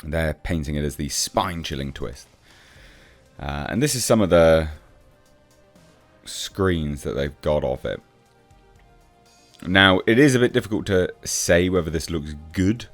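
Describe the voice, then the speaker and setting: Male reviewer, low-pitched voice, blunt sceptical off-the-cuff commentary over clear background music. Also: lively intonation, a little slow, pauses often. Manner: blunt, sceptical, swears casually. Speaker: film reviewer, channel host. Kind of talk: casual off-the-cuff commentary on film news.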